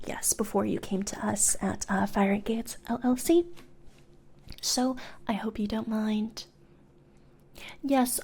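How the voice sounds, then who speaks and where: Woman playing a slow, whispering demon job interviewer. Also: on the high side. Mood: politely businesslike. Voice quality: soft, breathy whisper. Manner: hesitant, measured corporate politeness, murmured. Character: female demon job interviewer, businesslike, politely sinister recruiter. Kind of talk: whispered ASMR roleplay. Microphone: close studio condenser microphone.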